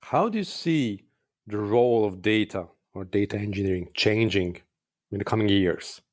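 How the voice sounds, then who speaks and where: Low-voiced man asking short questions. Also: expressive, moderate pace. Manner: brief, plain questions. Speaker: interviewer.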